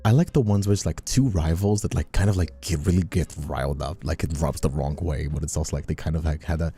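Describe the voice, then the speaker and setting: A man's voice: rumbling low, quick pace, mellow, breathy edge. A man who streams and voice acts, chatting casually and bluntly, giving opinions and swearing freely. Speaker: streamer and voice actor.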